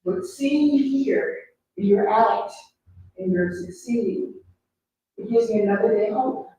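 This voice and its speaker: A middle-aged or older woman giving heartfelt personal testimony at a community event, halting and emotional, with emphasis. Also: fairly high pitch, expressive, mellow. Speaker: mother speaking at a community event. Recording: very echoey live room, distant mic, faint noise.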